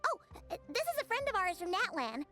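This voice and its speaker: A woman's voice: extremely high pitch, big pitch swings, fairly quick, music in the background. A woman voicing a tiny, chatty, cheeky female fairy companion in a video game. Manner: chatty, teasing, scolding.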